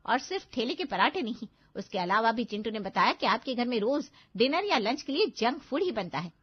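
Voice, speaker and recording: A strict female cartoon schoolteacher, acted by a woman, with a high voice and a firm, lecturing manner. She sounds concerned for her pupils. The audio is slightly muffled. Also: sing-song, a little fast, slightly rough. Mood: scolding. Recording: dull lo-fi audio.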